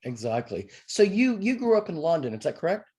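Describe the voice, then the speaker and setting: An interviewer asking questions with frequent affirmations and an expressive delivery. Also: mid-range voice, brisk, husky.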